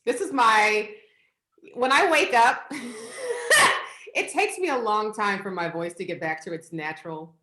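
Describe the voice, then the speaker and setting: A woman's voice: mid-range voice, expressive, a little slow, long pauses, bright, forceful tone, reverberant. A woman hosting a podcast over a video call, blunt and laughing often with a lot of swearing. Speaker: podcast host.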